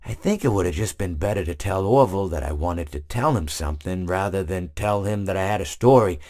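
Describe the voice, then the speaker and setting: An actor playing a gentle, absent-minded old male hippopotamus animatronic who rambles and stammers through wistful stories, in video game voice lines. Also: sits low, animated, fairly quick. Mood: rambling.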